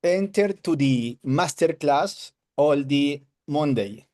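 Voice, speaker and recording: Spanish-speaking man with a Colombian accent, slow halting broken English on a video call. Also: fairly high pitch, lively intonation, darkish tone. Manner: hesitant broken English, searching for words. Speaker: webinar attendee giving a testimonial.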